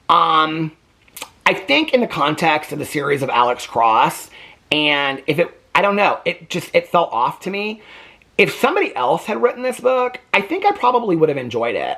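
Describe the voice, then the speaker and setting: A man with a very lively, swooping pitch and a husky edge to his voice. He talks casually as a book review vlogger, candid and opinionated, often hedging and looping back over a point. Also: moderate pace.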